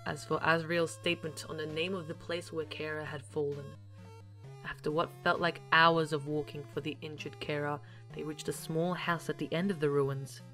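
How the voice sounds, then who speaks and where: Female narrator for audio series, low voice, formal storytelling read, light music underneath. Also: little pitch movement, moderate pace, fairly bright tone, poor audio. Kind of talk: scripted narration.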